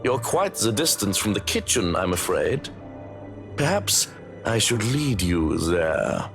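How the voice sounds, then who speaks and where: An actor playing a haughty, menacing butler in a video game, coldly polite, formal and full of theatrical disdain. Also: male voice, on the low side, very animated, slow, creaky, over music, full character voice. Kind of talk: visual novel game acting.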